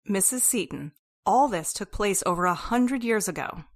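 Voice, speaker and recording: A woman playing a calm, dismissive skeptic soothing someone in an old-time radio horror play. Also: medium pitch, moderate pace, no room sound, clean studio sound, close to an everyday voice. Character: calm, dismissive acquaintance soothing an upset wife.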